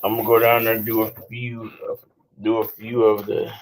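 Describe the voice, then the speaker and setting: A hoarse man with an American accent telling a story in casual chat and acting out what others said. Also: lowish voice, monotone, a little hiss or hum. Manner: slow, drawling storyteller who acts out other people's lines. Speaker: channel host. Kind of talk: casual storytelling with quoted dialogue and sung passages.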